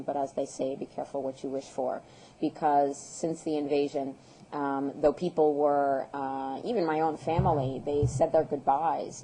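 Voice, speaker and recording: A woman in her thirties from the United States, answering interview questions in an earnest, measured way with frequent ums and uhs. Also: low-pitched, mellow, a little hiss or hum, poor audio. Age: 30s. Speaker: guest, peace activist.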